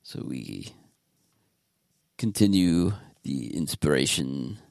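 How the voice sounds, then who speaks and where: Very deep, gravelly laid-back man. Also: expressive, takes it slowly, darkish tone, no echo, clean studio sound. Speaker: podcast host. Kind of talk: casual chat.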